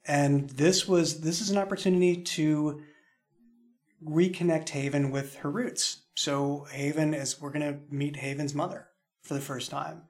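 A man talking casually as a show creator on a podcast, halting as he thinks aloud. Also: a little high, fairly even intonation, darkish tone. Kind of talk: casual chat in a behind-the-scenes podcast.

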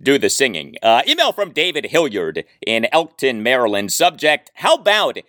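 A man hosting a sports show, delivering commentary and reading listener email with emphatic, deliberate phrasing. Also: medium pitch, huge pitch swings, sharp tone, no echo, clean studio sound. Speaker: sports podcast host.

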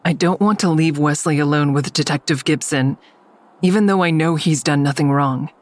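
A woman's voice: low voice, moderate pace, lightly acted. Woman as a weary first-person drama narrator. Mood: weary, watchful.

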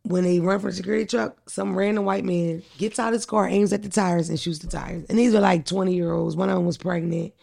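A woman with a deep voice hosting a podcast, telling stories in a blunt, profane, animated way. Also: a little fast, creaky, few pauses. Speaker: podcast host. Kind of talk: casual chat.

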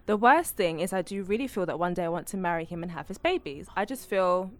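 A woman co-hosting a podcast, reading a listener's email aloud at a steady pace. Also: fairly low pitch, fast talker. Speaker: podcast co-host.

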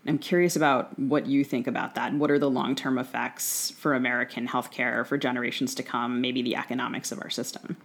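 A man's voice: medium pitch, level tone, fairly quick, hardly any pauses, fairly bright tone. A man hosting a conversational interview, thoughtful and hesitant in his phrasing as he builds his questions. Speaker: podcast host, interviewer.

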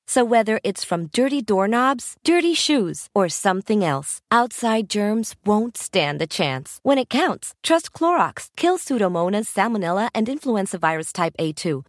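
A woman's voice: medium pitch, no room sound, clean studio sound. A woman reading a scripted commercial in an upbeat, reassuring way. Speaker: ad voice-over.

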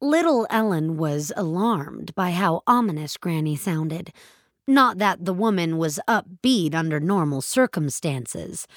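Slow, expressive woman narrating fiction. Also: fairly low pitch, no echo, clean studio sound. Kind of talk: scripted dramatic fiction narration.

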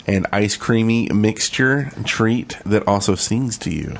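A man with a lowish voice co-hosts a gaming podcast. His talk is casual and dry, dropping wry asides into the conversation. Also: a little slow, vocal fry. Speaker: podcast co-host.

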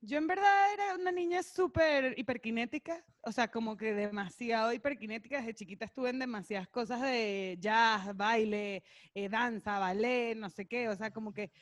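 A woman from Venezuela with a Venezuelan accent, a podcast guest telling childhood stories in a lively, filler-heavy way. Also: a little high, moderate pace, no echo, clean studio sound. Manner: lively storyteller, many fillers like "o sea". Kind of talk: casual interview chat.

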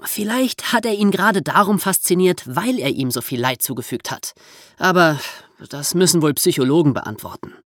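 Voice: mid-range voice, animated, normal speed, a bit bright, no echo, studio quality. A podcast host narrating from a script in an explanatory storytelling way, with conversational asides.

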